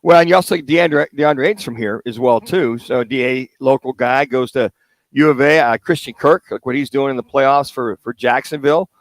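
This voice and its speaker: A man's voice: mid-range voice, moderate pace, dry recording. An American man co-hosting a sports radio show, giving casual, conversational analysis. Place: live remote radio broadcast at a car dealership.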